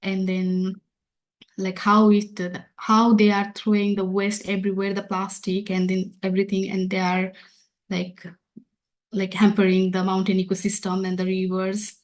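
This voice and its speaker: A woman with a Nepali accent moderates an online question session. Her voice sits at a middle pitch, and she is polite, with somewhat halting phrasing. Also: monotone, low-quality recording. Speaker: webinar host.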